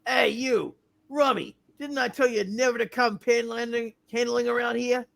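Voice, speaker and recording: Woman doing a gravelly, surly tough-guy barkeep. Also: a little high, fairly even intonation, normal speed. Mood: sneering. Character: surly, tough old-time barkeep, bullying and sneering. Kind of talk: hammed-up amateur puppet-show play reading.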